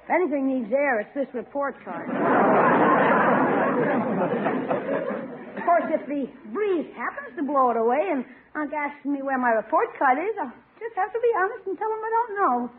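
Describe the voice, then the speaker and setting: An actor in an old-time radio comedy playing a scheming, anxious young schoolboy with a youthful, expressive voice. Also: high voice, normal speed, pauses often, crowd noise, background noise. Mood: sly musing. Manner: expressive, folksy exclamations. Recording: muffled vintage radio recording.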